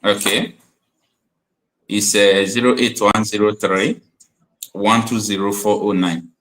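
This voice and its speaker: A man's voice: fairly low pitch, fairly even intonation, slow pace, a bit bright, rough recording. Earnest man hosting a call-in. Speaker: online show host. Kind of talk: casual call-in chat.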